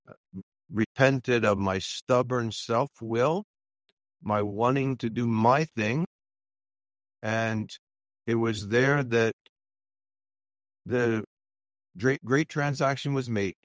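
A man's voice: mid-range voice, dry recording. Older pastor guest telling his story; sincere, hesitant delivery, very dry mic. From Louisiana, US. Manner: earnest, halting, many fillers and restarts. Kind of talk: personal testimony in a radio interview.

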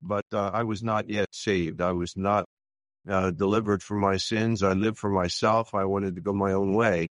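Earnest older pastor, halting speech. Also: male voice, deep voice, fairly even intonation, no echo, clean studio sound. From Louisiana, US. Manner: earnest, halting, many fillers and restarts. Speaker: pastor, guest. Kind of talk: personal testimony in a radio interview.

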